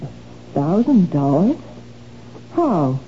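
An actress playing a frightened middle-aged housewife in a 1970s radio drama, anxious and pleading. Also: female voice, on the low side, very animated, takes it slowly. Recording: dull old radio recording, loud hiss.

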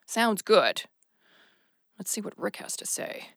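An actress playing a tough, embittered policewoman in an audio drama, with a creaky voice and a defiant, determined manner. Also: medium pitch, sing-song, slow, a bit bright, no echo, clean studio sound, exaggerated voice. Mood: weary, wry. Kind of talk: naturalistic audio drama acting.

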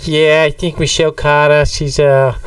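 A man voicing a dim, self-satisfied Boston guy rambling haltingly like an expert on a mock podcast, slightly away from the microphone. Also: on the high side, level tone, clear, resonant tone, no echo, recorded from a distance, pitched above the performer's own voice, natural voice. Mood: earnest, self-satisfied.

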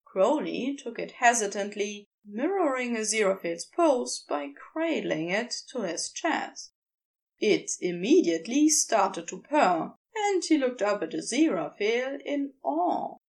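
A woman narrates a piece of fiction in a fairly high, highly expressive voice. Her delivery is unhurried, with a clearly creaky edge as she reads. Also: low-quality recording. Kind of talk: scripted story narration.

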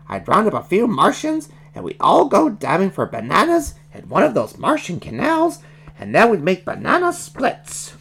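Zany little daydreamer creature, acted by a woman, raised pitch, eager, rambling, storybook cartoon. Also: vocal fry, a bit bright, breathy edge, exaggerated voice. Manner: earnest, rambling enthusiasm. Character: zany young daydreamer spinning absurd schemes.

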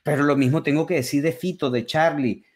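A man's voice: on the high side, brisk, darkish tone. A man from Venezuela, telling stories with enthusiasm and reflection as a guest in a conversational interview over a remote call. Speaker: interview guest, musician and comedian.

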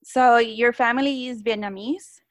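A woman's voice: a little high, fairly even intonation, normal speed, dry recording, studio quality. Puerto Rican woman interviewer, Spanish accent. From Puerto Rico. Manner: earnest, searching for words. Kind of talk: interview questions.

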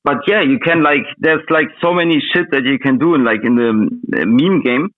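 A man whose first language is Russian, chatting casually as an interview guest, halting and searching for words in English. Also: fairly high pitch. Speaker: interview guest, meme coin trader. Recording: thin phone-like voice-call audio.

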